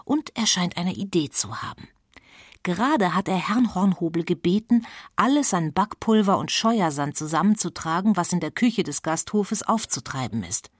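A woman narrates a children's adventure story in a fairly low, very husky voice. The delivery is scripted storytelling, recorded close and dry. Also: expressive, moderate pace, no echo.

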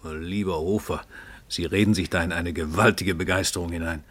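An actor playing a world-famous, self-assured writer in a radio play, urbane and ironic, with condescending amusement. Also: male voice, sits low, steady tone, moderate pace, a bit bright, dry recording. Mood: probing, amused. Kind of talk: German radio play acting.

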